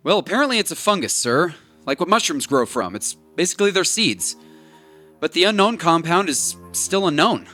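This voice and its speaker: Man doing a bright, higher eager sci-fi agent, quick talker. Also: sing-song. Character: eager young male field agent, dutiful. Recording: faint music behind.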